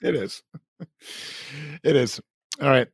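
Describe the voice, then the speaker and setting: A man's voice: medium pitch, slow pace, husky, dry recording. A man co-hosting a casual talk show, breaking into laughter again and again. He stumbles, trails off and restarts his thoughts, and his voice sits close and dry on the microphone. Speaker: podcast co-host. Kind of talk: casual chat.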